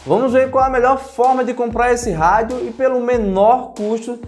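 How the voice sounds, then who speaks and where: A man's voice: extremely high pitch, fairly even intonation, moderate pace, darkish tone, roomy sound, soft background music. A Brazilian man giving a casual tutorial voice-over as a gadget reviewer, instructing viewers directly with frequent check-in tags. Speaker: gadget reviewer and channel host.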